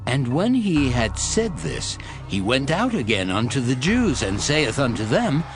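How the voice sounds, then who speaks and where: A man narrating scripture in a steady, formal reading style. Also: medium pitch, measured pace, poor audio. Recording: narration over music.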